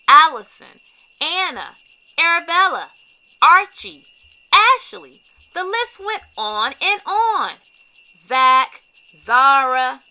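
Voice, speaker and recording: A woman reading a children's storybook aloud with expressive, dramatic emphasis. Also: high-pitched, rough recording. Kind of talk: read-aloud scripted narration for kids.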